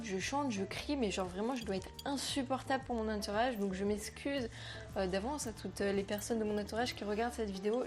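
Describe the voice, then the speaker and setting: A young woman, a French native speaker, chatting to camera in a slangy, animated way, talking about herself as a vlogger. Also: medium pitch. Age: young adult. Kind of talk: casual chat to camera.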